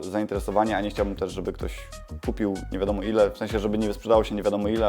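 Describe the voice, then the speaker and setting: A man's voice: fairly low pitch, mellow. A man giving a casual, informal update as a video host, explaining things and correcting himself as he goes. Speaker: YouTube creator and host. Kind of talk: casual vlog update to camera.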